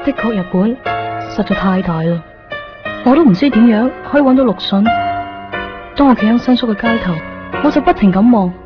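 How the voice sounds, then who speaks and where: An actress playing a quiet, terse young woman visiting Tokyo who narrates her own search in a radio play. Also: on the high side, lively intonation, a little fast, long pauses, lightly acted. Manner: subdued, terse. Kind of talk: 1990s radio drama acting. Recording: dull lo-fi 1990s radio with music.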